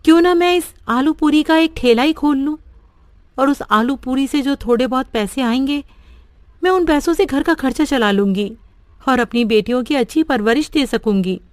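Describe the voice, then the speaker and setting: Brief: gentle modest widow in a cartoon story, a woman acting it, higher voice, dry. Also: moderate pace, no room sound, natural voice. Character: kind poor widow, gentle village mother.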